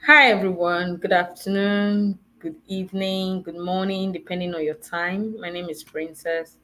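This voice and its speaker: A woman's voice: lowish voice, little pitch movement, measured pace, pushed and bright, low-quality recording. A woman from Nigeria introducing herself courteously as a panel guest. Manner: courteous, formal.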